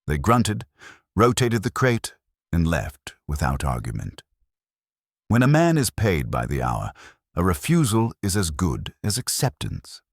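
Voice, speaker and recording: A man reading a first-person detective story as an audiobook narrator, in a wry, measured storytelling manner. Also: sits low, wildly swooping pitch, no room sound, studio quality.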